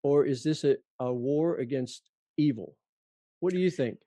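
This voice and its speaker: A man's voice: mid-range voice, normal speed, warm tone, no echo. A man interviewing in a conversational way, musing earnestly and feeling his way through his questions.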